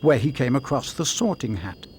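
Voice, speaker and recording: A man's voice: mid-range voice, animated, normal speed, darkish tone, slight background noise. A man narrating cutscenes in a video game. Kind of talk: scripted narration.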